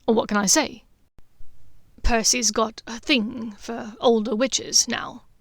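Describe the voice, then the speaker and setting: A teasing, affectionate young man speaks low and close, his voice pitched a touch high for a man and recorded dry and close-up, as a woman narrator plays him. He drawls his jokes with easy warmth. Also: fairly even intonation, takes it slowly, no echo. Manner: teasing, low and intimate, sometimes half-whispered.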